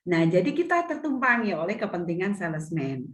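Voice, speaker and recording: A middle-aged woman lectures a seminar from a video call. Her voice sits low and is a little hoarse from a cough. She explains patiently and often restates her points, and the call audio carries a roomy echo. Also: sing-song, a little fast. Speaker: retail business mentor.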